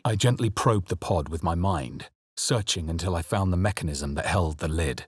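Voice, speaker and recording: A man voicing a wary, brooding adventurer hero in a fantasy video game, narrating in the first person with dramatic, measured intensity. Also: low voice, slightly rough, dry recording, clean studio sound. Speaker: video game player character voice.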